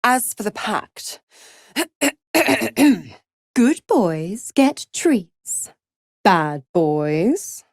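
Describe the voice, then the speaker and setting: A woman's voice: on the low side, no echo, studio quality. A woman voicing a sly, seductive half-devil schemer in a video game, purring, teasing and condescending with theatrical flair. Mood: gloating, menacing.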